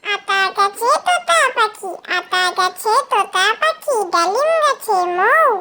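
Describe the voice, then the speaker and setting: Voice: very high-pitched, animated, slow pace, bright, forceful tone. A tiny childlike voice reciting nursery rhymes in a sing-song way, on a very noisy track with clicks.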